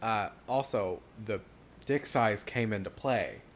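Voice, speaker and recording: A woman's voice: slow, slight background noise. A young woman with a Southern US accent, co-hosting a podcast, telling frank stories bluntly and laughing often. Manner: blunt storyteller, laughs often, big pitch swings. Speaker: podcast co-host. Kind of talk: casual chat.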